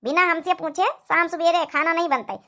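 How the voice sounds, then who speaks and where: A woman's voice: extremely high pitch, a little fast, pushed and bright. A woman playing a strict, scolding village mother-in-law in a cartoon comedy, with an Awadhi accent and a nagging, domineering manner. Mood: stern, commanding.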